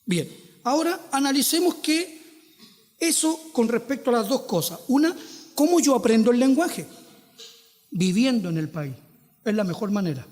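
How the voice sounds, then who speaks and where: A man's voice: very high voice, very animated, normal speed, long pauses, a little mellow, long reverb tail. A Chilean man preaching a sermon, posing rhetorical questions and stretching out quoted scripture with emphasis. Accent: Chilean Spanish. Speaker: pastor.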